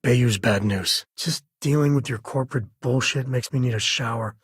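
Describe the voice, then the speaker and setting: An actor playing a rugged, wry space-frontier explorer and caring father in a video game, with a husky, raspy voice and folksy, conversational phrasing. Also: mid-range voice, moderate pace, no room sound, studio quality. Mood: casual, wry. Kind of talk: video game companion dialogue.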